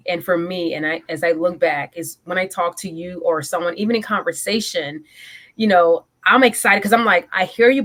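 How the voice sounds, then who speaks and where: A woman's voice: fairly low pitch, a bit bright. A woman in her forties from Guyana, a guest on a podcast interview, talking eagerly and energetically, often stopping to correct herself. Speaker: guest, business coach and military veteran.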